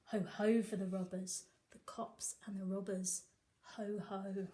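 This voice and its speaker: A woman teacher reading a picture book aloud to young children, with animated, sing-song emphasis. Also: mid-range voice, measured pace, a little hiss or hum. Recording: echoey room.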